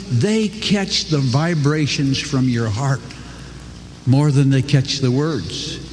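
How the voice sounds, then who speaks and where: An older man giving a folksy, earnest motivational speech to a crowd, his pitch swooping for emphasis. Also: darkish tone, slight background noise. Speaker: company co-founder speaking to a crowd. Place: large hall before an audience. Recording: echoey hall, faint ambience.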